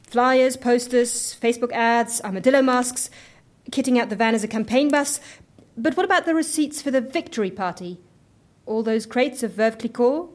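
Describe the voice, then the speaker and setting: An actress playing a stern, conscientious, exasperated bookkeeper in a comedy audio drama, sarcastic and indignant. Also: female voice, on the high side, normal speed, natural voice. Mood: businesslike.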